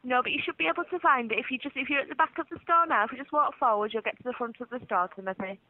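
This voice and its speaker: A woman's voice: high voice, rapid-fire, dry recording. A woman, patiently giving directions as a customer service call handler. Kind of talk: helpful phone customer service. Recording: tinny telephone line.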